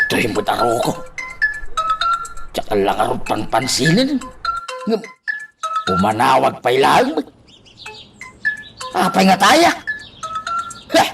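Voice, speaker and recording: Scheming radio-drama mutterer, hugely animated pitch, drawn-out delivery, over music. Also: male voice, vocal fry, exaggerated voice. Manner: exaggerated, chuckling, muttering. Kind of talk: Ilocano radio drama acting. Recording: music bed behind the voice.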